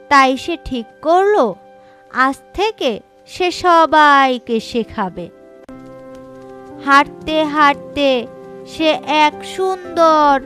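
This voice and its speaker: A woman's voice: high-pitched, fairly bright tone. A woman narrating a scripted children's story as a storyteller. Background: background music.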